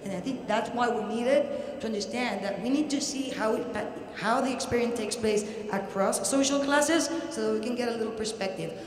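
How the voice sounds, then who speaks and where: A woman's voice: on the high side, moderate pace. Puerto Rican woman lecturing in an echoey hall. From Puerto Rico. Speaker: researcher presenting at an academic conference. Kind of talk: academic lecture. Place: large indoor university hall, at a podium. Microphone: podium microphone. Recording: very echoey hall, audible background noise.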